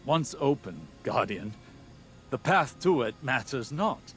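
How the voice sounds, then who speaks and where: A man playing a solemn, intense scholar in a video game, reasoning aloud in a measured, deliberate way. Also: mid-range voice, sing-song, fairly bright tone, soft background music. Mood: absorbed, realizing. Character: cerebral, solemn male scholar, intense and absorbed. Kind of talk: dramatic video game exposition. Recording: noisy game ambience behind voice.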